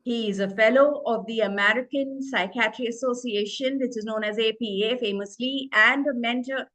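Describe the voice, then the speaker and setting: A woman hosting, reading a formal introduction in a halting way with restarts, over a video call. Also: fairly high pitch, fairly bright tone, low-quality recording. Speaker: host, moderator.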